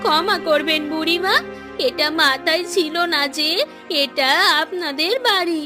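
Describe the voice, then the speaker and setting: A woman's voice: sits high, a little slow. A woman playing an aggrieved, defiant mother from a poor village in a fairy-tale cartoon, with a plaintive, protesting delivery. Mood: pleading, apologetic. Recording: music behind the voice. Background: background music.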